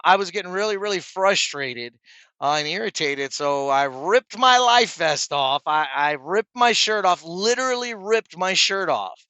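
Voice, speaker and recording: A man's voice: fairly high pitch, pushed and bright, no room sound. A middle-aged man telling stories and giving advice to viewers in a casual, chummy vlog style, as a trucker presenting to his peers. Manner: chummy, addresses viewers as guys.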